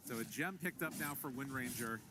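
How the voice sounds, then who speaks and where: A man's voice: medium pitch, fairly quick. A man doing live play-by-play commentary on an esports match. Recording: clear background noise behind voices.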